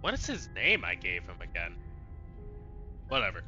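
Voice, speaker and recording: A man with a very sharp, forceful voice streams a game with animated pitch swings. He chats to himself casually and irreverently, swearing freely, on a slightly dull microphone. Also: moderate pace. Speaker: let's play streamer. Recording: dull lo-fi mic, faint music. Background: faint game music.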